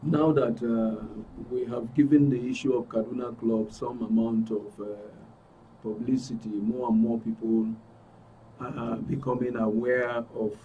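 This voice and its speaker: A man with a Nigerian accent speaking as a talk show guest with deliberate, hesitant phrasing. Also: mid-range voice, little pitch movement. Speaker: studio guest. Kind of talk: interview commentary. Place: radio and TV studio. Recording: dull distant mic, background noise.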